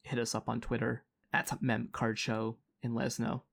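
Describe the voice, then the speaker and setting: A man's voice: medium pitch, flat delivery, moderate pace, creaky, a bit bright. A man co-hosting a podcast in casual chat, hesitant with many fillers and restarts. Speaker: podcast co-host.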